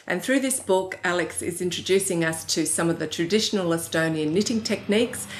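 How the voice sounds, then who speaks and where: Cheerful low-voiced woman host. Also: brisk, slight background noise. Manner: cheerful, laughs often. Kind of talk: chatty show presentation. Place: living room, seated on a sofa.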